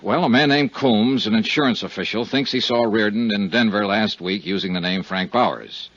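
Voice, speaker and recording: A man's voice: lowish voice, moderate pace, a bit bright. A man with an American accent acting as a dry, clipped, matter-of-fact insurance investigator who narrates a 1950s radio detective drama. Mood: dry, measured. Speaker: lead actor and narrator. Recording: muffled vintage radio recording with music.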